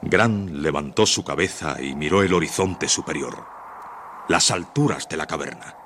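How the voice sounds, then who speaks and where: Male narrator: deep, solemn, theatrical radio suspense storytelling, slight background noise. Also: normal speed, a bit bright. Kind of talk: dramatic scripted radio narration.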